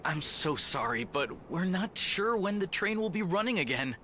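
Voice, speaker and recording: An actor playing an earnest, polite and effusive railway worker in a video game cutscene. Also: male voice, sits high, very animated, normal speed, pushed and bright, a little hiss or hum, exaggerated voice. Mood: apologetic, weary. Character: earnest, eager male railway worker, overworked and grateful.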